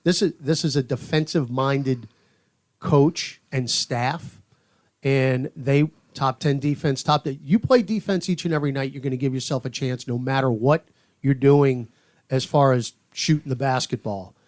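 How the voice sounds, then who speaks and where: A man's voice: mid-range voice, normal speed, darkish tone. A man, a radio play-by-play announcer, chatting casually on a podcast in a hesitant way, full of "uh" and "you know". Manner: hesitant, filler-heavy phrasing.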